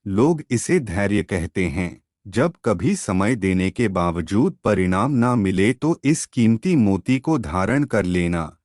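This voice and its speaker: A man playing a wise, mysterious sadhu in an animated moral story, speaking in emphatic, fatherly pronouncements. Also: on the low side, slow pace, no room sound, clean studio sound. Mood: solemn, instructive.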